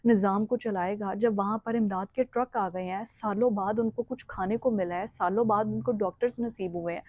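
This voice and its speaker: Female Pakistani current affairs commentator, emphatic delivery, warm mid-pitched voice, echoey slightly muffled recording. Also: fairly even intonation, moderate pace. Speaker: vlogger, news commentator. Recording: echoey room, slightly dull sound.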